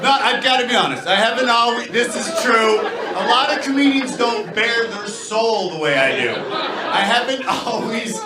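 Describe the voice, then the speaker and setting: A male stand-up comedian with a high-pitched, very animated voice works the room. He teases in a halting, conversational way, over a loud, noisy live crowd. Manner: halting, teasing back-and-forth with audience. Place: comedy club stage with audience laughter. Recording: roomy live club, loud crowd noise.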